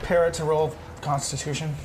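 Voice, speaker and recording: A man's voice: a little high, level tone, fairly quick. A man playing a tabletop game, chatting casually about turns and dice rolls. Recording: loud noise, clicks.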